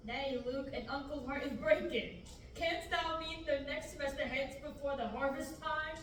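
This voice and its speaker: Stern farmer uncle, read by a young woman, measured verse, far-off echoey classroom with hiss. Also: high-pitched, fairly bright tone. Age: young adult. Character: stern middle-aged male farmer uncle. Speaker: student actor at a table reading. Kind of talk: reading Shakespearean verse aloud. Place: large classroom, indoors. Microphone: far camera.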